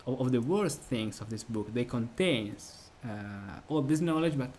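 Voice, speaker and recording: A man's voice: medium pitch, animated, darkish tone. Italian guy hosting a chat in english, stumbles and restarts a lot, laughs a bunch, bit of background hiss. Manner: halting, many restarts, laughs easily. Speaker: host. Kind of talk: casual discussion of a music treatise.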